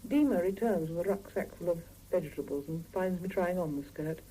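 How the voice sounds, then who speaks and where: A woman's voice: fairly low pitch, a little fast, dark tone, close to an everyday voice, rough recording. An actress playing a weary, exasperated Soviet working mother with dry, sardonic delivery in a 1970s radio drama. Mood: weary, reflective. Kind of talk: 1970s radio drama, dialogue and intimate first-person narration. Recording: hissy 1975 radio drama cassette, mono.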